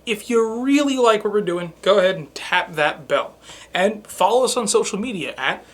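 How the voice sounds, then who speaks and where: A man's voice: high voice, expressive, normal speed. A man co-hosting a review show in a scripted but conversational, presenterly way. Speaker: show co-host.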